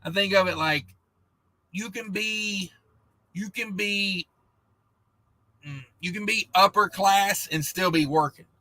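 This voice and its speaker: A man hosting a trucking livestream, reading viewer comments in a rambling, laid-back chat, and his voice is going hoarse. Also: high-pitched, takes it slowly, pauses often, sharp tone, dry recording, poor audio. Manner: rambling, laid-back, many fillers and restarts. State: losing his voice.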